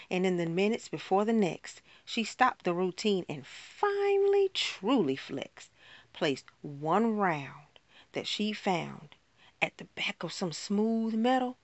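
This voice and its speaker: Low-voiced woman performing spoken word. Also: no room sound. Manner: rhythmic rhyming delivery with dramatic pauses.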